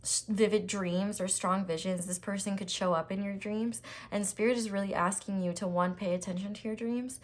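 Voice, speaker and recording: Woman, tarot reader, slightly raspy, casual, reassuring, fillers and restarts. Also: mid-range voice, steady tone, moderate pace, few pauses. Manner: chatty, reassuring, many ums and restarts. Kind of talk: casual tarot reading to camera.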